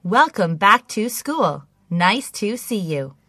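A woman reads scripted listening-test items for language learners. Her voice is bright and lively in pitch, with careful, clear enunciation. The recording is close and dry. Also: slow pace, dry recording. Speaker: English listening test reader. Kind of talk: scripted language-lesson reading, each item repeated.

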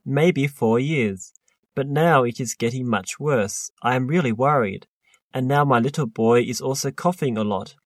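A man's voice: medium pitch, normal speed, dry recording, clean studio sound, natural voice. A man playing a worried patient who smokes. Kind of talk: scripted listening-test dialogue.

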